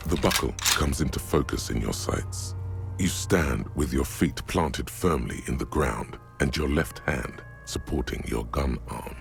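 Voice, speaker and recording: A man reading measured, ominous second-person narration for a video game. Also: a bass voice, lively intonation, gravelly, soft background music.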